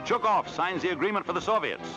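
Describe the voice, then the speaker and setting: American guy doing 1940s newsreel narration, dramatic swooping voice, loud music behind, old film sound. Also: high voice, expressive, fast talker, highs cut off. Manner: dramatic, declamatory.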